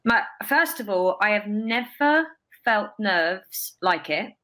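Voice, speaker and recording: A young woman, a guest telling emphatic, expressive stories over a video call from a bright room. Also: mid-range voice, slow. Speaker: guest, stage performer. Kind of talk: casual interview.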